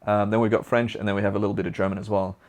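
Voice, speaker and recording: A man's voice: fairly low pitch, little pitch movement, rapid-fire, darkish tone. A young man from Belgium with a Flemish accent, a native Dutch speaker, answering viewer questions in a chatty, upbeat and rambling way. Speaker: vlogger.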